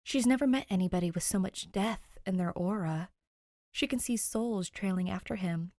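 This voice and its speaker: A woman reading fiction aloud as an expressive podfic narrator. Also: medium pitch, normal speed, dry recording, clean studio sound. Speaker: podfic reader. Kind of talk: expressive scripted story narration.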